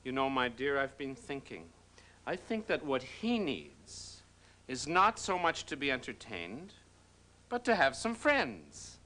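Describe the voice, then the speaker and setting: A man playing a pompous fairy-tale king and worried father in a children's TV show, speaking in a grand, deliberate way. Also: mid-range voice, very animated, pushed and bright. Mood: grand, decisive.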